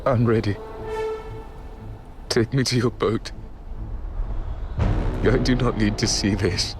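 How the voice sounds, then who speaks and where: An actor playing a frail, dying old king in an audio drama, weary and wry, with halting speech. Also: on the low side, steady tone, over music. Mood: resigned. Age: older.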